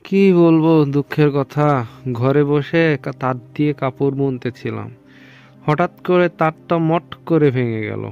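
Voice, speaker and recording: A man's voice: a little high, a little slow, gravelly, darkish tone, clean tone. A man playing a stubborn old village weaver in a cartoon, muttering to himself and exclaiming as he complains. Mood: resolute, fretting. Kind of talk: cartoon voice acting. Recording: dull lo-fi audio with music behind.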